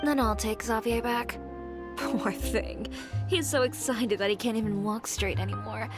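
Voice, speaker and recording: Dry teasing costume lady, woman voicing her, slightly high, music clear behind. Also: steady tone, a little slow, lightly acted. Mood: wry. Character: wry, practical female costume and makeup artist. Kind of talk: game voice acting.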